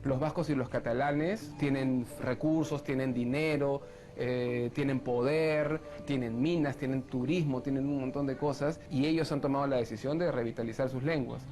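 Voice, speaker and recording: A man's voice: mid-range voice, steady tone, normal speed, music in the background. A man from Peru giving an interview answer emphatically, piling up short phrases.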